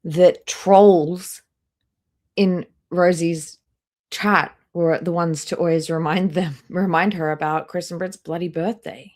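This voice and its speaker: Low-pitched woman, dryly disapproving commentator. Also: fairly even intonation, slow pace, darkish tone. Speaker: streamer hosting a commentary live stream. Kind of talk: casual commentary, reading out chat messages.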